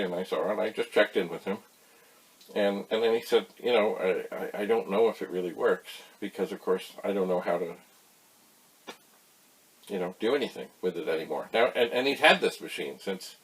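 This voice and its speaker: A man giving a casual, folksy explainer, rambling through anecdotes with emphatic pauses. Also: deep voice, slightly rough, rough recording. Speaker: host of a retro computer tutorial channel.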